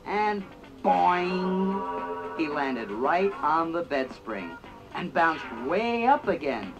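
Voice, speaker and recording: A storybook narrator reading a children's read-along in slow, animated storytelling. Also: medium pitch, rough recording. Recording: 1980s read-along record with funky music. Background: funky 80s music track.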